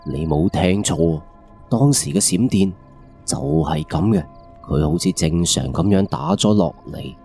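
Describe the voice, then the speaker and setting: A man's voice: deep voice, expressive, measured pace, gravelly, mellow, soft background music. A man with a Cantonese accent narrating a first-person ghost story in a colloquial, suspenseful way with rhetorical questions.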